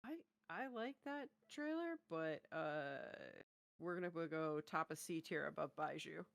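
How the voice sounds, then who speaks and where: A woman's voice: sits low, expressive, a little slow, creaky, dry recording. A woman co-hosting a reaction show in casual chat. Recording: music playing behind. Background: music from trailers.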